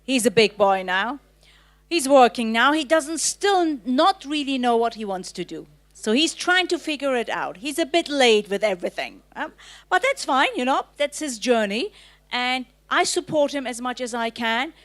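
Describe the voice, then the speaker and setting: Earnest woman in her fifties, bright voice. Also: high-pitched, very animated, normal speed. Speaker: panelist. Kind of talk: panel pledge speech.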